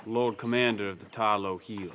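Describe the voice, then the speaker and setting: A man's voice: lowish voice, steady tone, normal speed, a bit bright, a little hiss or hum, lightly acted. An actor playing a stern, haughty man who dismisses others in clipped, formal speech in a fantasy audio drama. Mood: disdainful, stern.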